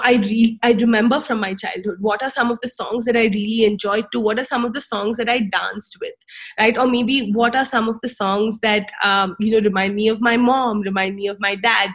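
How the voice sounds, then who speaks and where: A young woman whose first language is Tamil, a singer, giving casual advice in a chatty way, full of fillers and tag questions. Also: on the high side. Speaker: speaker sharing wellbeing advice.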